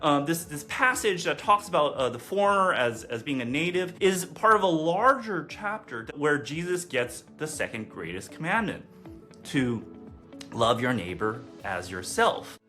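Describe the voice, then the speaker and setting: A man's voice: a little high, expressive, unhurried, sharp tone, faint music behind. An American man giving a workshop talk as a theologian, hesitant, with many fillers and restarts. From United States.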